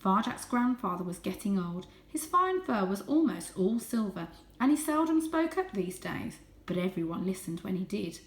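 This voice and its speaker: A woman reading a children's story aloud as host, with expressive, dramatic and suspenseful narration. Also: mid-range voice, normal speed, hardly any pauses. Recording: echoey room.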